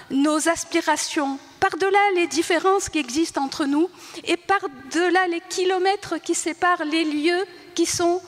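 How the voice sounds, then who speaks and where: An older woman born in Tunisia whose first language is Arabic, speaking at a panel talk and reflecting in a halting way, often searching for words and restarting. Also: high voice, a bit bright. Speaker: writer on a panel. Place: large hall. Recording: reverberant hall.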